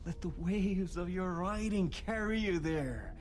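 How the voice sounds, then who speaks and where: Cryptic game informant, urgent and ominous; higher-pitched, very measured delivery. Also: male voice, expressive. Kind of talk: dramatic game acting. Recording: game audio with clear noise behind.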